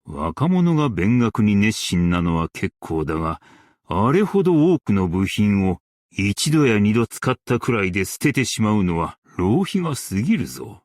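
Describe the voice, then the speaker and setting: A man playing a stern, dignified old-world master craftsman and warrior in a game, speaking in archaic, formal, measured Japanese diction with weighty delivery. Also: fairly low pitch, big pitch swings, a little mellow, no room sound, clean studio sound. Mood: disapproving. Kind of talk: Japanese game character voice lines.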